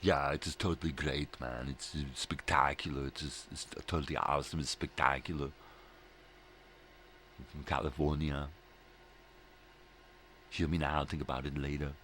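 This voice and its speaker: A man's voice: rumbling low, steady tone, moderate pace, pauses often, a bit bright. A middle-aged man in a rambling casual morning chat, with a laid-back stoner drawl and a habit of repeating himself. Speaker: host of a daily morning video log. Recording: noticeable background noise.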